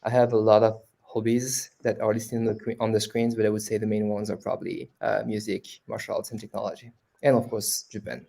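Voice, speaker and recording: A man from France, an engineering manager, giving a halting self-introduction at an online panel with many pauses. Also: on the low side, little pitch movement, gravelly, warm tone. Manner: hesitant, many uh fillers.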